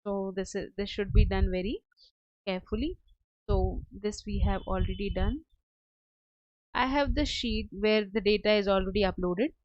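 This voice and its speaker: A woman working as a software trainer, giving a step-by-step screen tutorial in a hesitant, halting way. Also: mid-range voice, fairly even intonation, no echo. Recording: dull lo-fi close dry audio.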